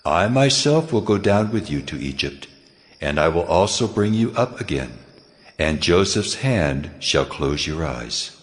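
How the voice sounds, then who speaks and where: A booming, very low divine voice delivers slow, solemn promises. It sounds measured and commanding, like a dramatized scripture recording. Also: male voice, very animated. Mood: solemn, reassuring. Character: God, a deep, calm, commanding divine voice.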